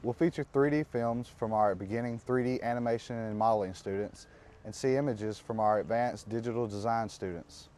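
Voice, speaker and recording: A man's voice: lowish voice, fairly even intonation, brisk, a little mellow. A man co-hosting a TV magazine show, reading a scripted introduction. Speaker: TV show host.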